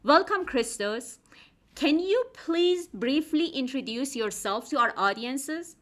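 Woman, podcast host, bright, crisp tone, measured scripted delivery. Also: sits high, animated. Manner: clear, measured reading of prepared text. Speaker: podcast host, assistant professor. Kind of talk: scripted podcast intro and interview questions.

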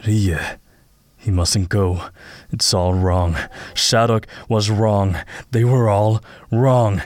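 A man playing a dazed, obsessed mystic haunted by visions in an audio drama, speaking in halting, cryptic, trance-like phrases. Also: lowish voice, a little mellow, dry recording. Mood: troubled, insistent.

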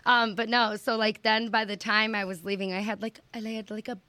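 A woman's voice: a little high, a little fast, no echo. A woman from Michigan chatting as a podcast guest, telling stories with lots of quoted voices and casual swearing. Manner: animated storyteller, puts on quoted voices, swears freely. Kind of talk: casual chat.

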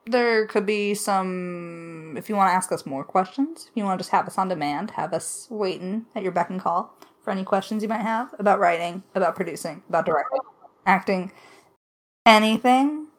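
A woman's voice: mid-range voice, normal speed. A woman co-hosting a podcast, chatting casually and playfully in an informal Q&A. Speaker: podcast co-host and audio drama writer. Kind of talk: casual Q&A chat.

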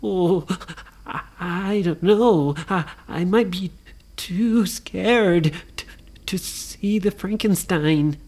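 Timid male ghost, storybook character, slow drawn-out words, hesitant, acted out by a woman. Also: lowish voice, a little mellow. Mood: timid, unsure. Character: timid, drawling male ghost named Hector.

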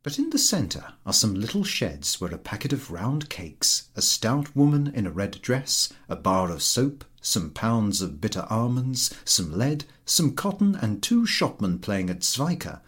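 Male narrator for literary fiction audiobook: expressive, lowish pitch, slightly husky, lively intonation. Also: a little slow. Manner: expressive storytelling delivery. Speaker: audiobook reader.

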